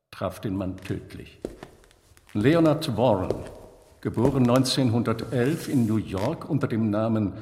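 Male narrator, mid pitch, scripted biographical storytelling, deliberate and dramatic, long reverb. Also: darkish tone. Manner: deliberate, dramatic phrasing with pauses.

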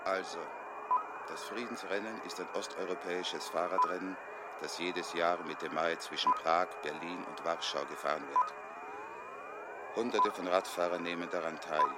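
Actor as a deep-voiced plotter over loud noise. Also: male voice, fairly even intonation, normal speed, natural voice. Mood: assured, businesslike. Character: confident male schemer briefing agents on a covert plan. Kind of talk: German radio play acting, briefing. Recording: loud outdoor traffic-like noise.

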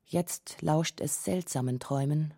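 A woman's voice: sits low, a little slow, vocal fry, mellow, no room sound, studio quality. A woman reading scripted radio documentary narration in a terse, pointed way. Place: dry studio.

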